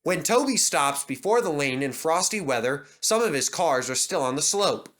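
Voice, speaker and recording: A man narrating a storybook in an expressive, lively way. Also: on the high side, moderate pace. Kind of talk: storybook reading.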